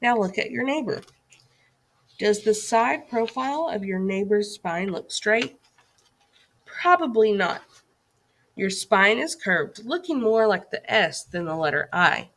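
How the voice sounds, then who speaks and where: A woman's voice: mid-range voice, animated, measured pace, a bit bright. A woman teacher reading a scripted lesson aloud for young children in an instructive way, putting questions to her listeners.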